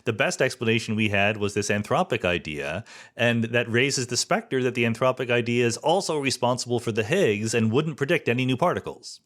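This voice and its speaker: A man's voice: mid-range voice, animated, fairly quick, a bit bright. A man hosting a podcast interview, carefully summarizing ideas in his own words, with some stammered restarts. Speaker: podcast host.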